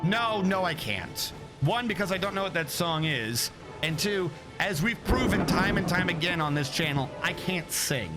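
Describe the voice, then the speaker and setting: A man's voice: high voice, expressive, moderate pace, loud music behind the voice. A young man streaming a game, chatting casually in a rambling way and correcting himself often. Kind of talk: casual livestream chat.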